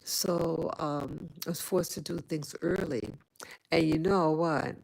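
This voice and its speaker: A woman from New York City talks to her viewers in a slow, unhurried vlog. Her voice sits low and has a gravelly fry. She rambles, trails off and restarts her sentences with lots of fillers. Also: steady tone, darkish tone, low-quality recording. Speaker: vlogger. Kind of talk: casual chat to viewers. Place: home in Rwanda.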